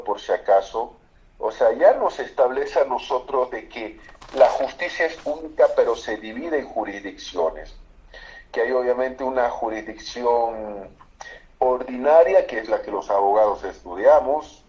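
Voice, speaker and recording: A man's voice: medium pitch, dark tone, rough recording. A man from Bolivia, a lawyer, explaining constitutional law emphatically on a political talk show. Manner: emphatic, explanatory, repeats for stress.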